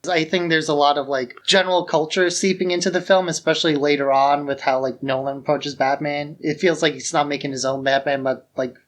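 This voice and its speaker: A man's voice: a little high, fairly even intonation, a little fast, hardly any pauses. A man co-hosting a podcast, chatting casually about film in an informal, enthusiastic way. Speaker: podcast co-host.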